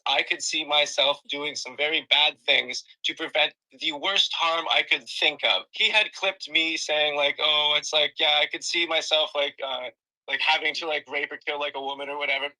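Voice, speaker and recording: Bright-toned man, defensive and halting, somewhat high. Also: steady tone, poor audio. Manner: defensive, halting, many fillers. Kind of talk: defensive explanation on a stream.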